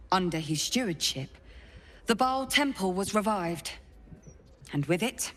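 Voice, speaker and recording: An actress playing an earnest, dogged city guard investigator in a video game, with an intense, deliberate, confiding delivery. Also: female voice, medium pitch. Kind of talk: dramatic game dialogue. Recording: background music under the voice.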